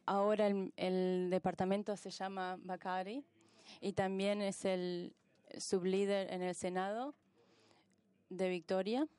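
A woman working as a simultaneous interpreter, rendering conference speeches live in halting pieces and correcting herself as she goes. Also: medium pitch, little pitch movement, a little mellow, smooth, dry recording.

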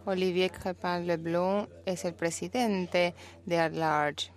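A woman with a Rioplatense Spanish accent doing simultaneous interpretation, relaying speech in a steady, even way. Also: fairly low pitch, slow.

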